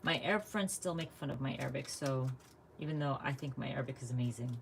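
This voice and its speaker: A woman's voice: extremely low pitch, quick pace, gravelly, noisy background. A woman from the United States chatting casually while she crafts live, thinking aloud and laughing often. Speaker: craft vlogger.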